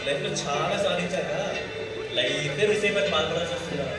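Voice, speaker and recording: Man acting fun-loving teen friend, high-pitched, rapid, stage play, echoey hall. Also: natural voice. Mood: persuasive. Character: pushy, fun-loving teenage college friend. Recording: distant echoey hall with loud music.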